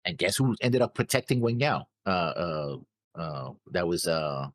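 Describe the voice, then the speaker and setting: A man co-hosting a reaction show, chatting casually in a halting, excitable way. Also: deep voice, no room sound, clean studio sound. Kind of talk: casual chat about an anime episode.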